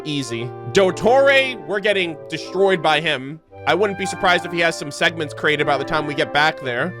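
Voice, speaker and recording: Higher-pitched excitable young male streamer. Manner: slangy, excitable, sweary. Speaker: gaming streamer. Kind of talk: casual reaction commentary. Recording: game music playing behind the voice.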